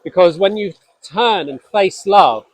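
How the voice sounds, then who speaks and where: Scottish-born guy, lost the accent, earnest rambly spiritual chat, voice on the high side. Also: no echo. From Scotland. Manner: earnest, halting, with frequent restarts. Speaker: spiritual podcast host. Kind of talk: reflective solo talk.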